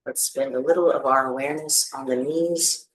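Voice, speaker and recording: A meditation teacher leading a guided practice, giving gentle, soothing instructions with pauses. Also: medium pitch, fairly even intonation, poor audio.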